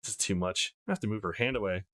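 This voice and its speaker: A man's voice: fairly low pitch, fast, a bit bright, natural voice. A man playing an earnest, easily embarrassed young man in a visual novel, with self-conscious inner monologue. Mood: flustered. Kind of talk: visual novel character reading.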